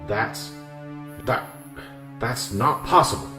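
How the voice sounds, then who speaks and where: An actor playing an older small-town police sergeant in a radio drama, plain-spoken, weary and defensive. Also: male voice, mid-range voice, slow, close to an everyday voice. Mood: appalled. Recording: roomy echo with music behind. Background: music.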